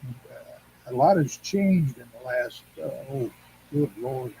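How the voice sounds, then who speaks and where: An older man chatting casually and reminiscing in a rambling, halting, anecdotal way. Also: medium pitch, lively intonation, warm tone, poor audio. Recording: clear background noise and hum.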